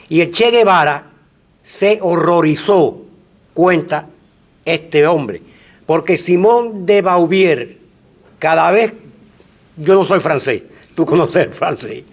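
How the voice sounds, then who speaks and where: Older man, panel moderator, halting and full of fillers, a touch high. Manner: hesitant, many fillers. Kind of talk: moderating, telling an anecdote.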